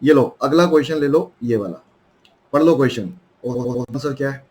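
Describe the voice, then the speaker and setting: A man's voice: mid-range voice, normal speed, a little mellow. Indian male math teacher, sore throat. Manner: coaxing, addresses students as children. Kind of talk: online math lesson.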